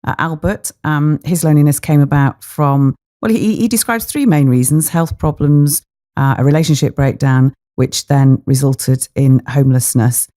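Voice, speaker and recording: A woman's voice: sits low, moderate pace, dark tone. A woman hosting a discussion podcast, conversational and a little hesitant as she introduces guests and stories. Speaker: podcast host. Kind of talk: interview moderating.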